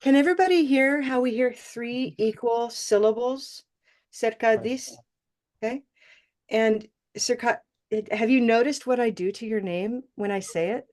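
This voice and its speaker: A woman's voice: fairly high pitch, moderate pace. Lady teacher on a video call, upbeat and encouraging, laughs a lot as she explains. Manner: warm, encouraging, laughs often. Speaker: English pronunciation teacher. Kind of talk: online class teaching.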